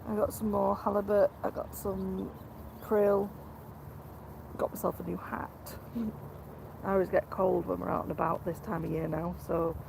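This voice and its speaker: A woman talks in a low, croaky voice, hoarse from a cold, with a gravelly rasp to her tone. She chats casually to live-stream viewers from beside a lake. Steady outdoor noise hisses in the background. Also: a little slow. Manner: speaks quietly, greets viewers. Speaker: vlog host live-streaming fishing.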